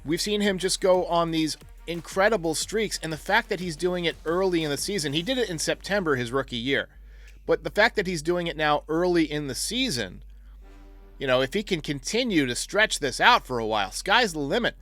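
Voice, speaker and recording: A man with a slightly high voice hosts a sports talk show over faint music. He is opinionated and talks his way through each option in a conversational commentary style. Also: animated, a little fast, a bit bright.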